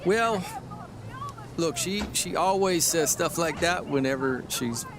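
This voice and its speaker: A tired 1940s newspaperman placating his wife. He talks slowly and haltingly in a creaky voice, sidestepping questions. It is a naturalistic audio drama with clear outdoor noise behind him. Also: a little high, expressive, a crowd in the background. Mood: uneasy, weary. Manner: hesitant, halting, mumbled deflections. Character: weary, evasive, placating 1940s newspaperman husband.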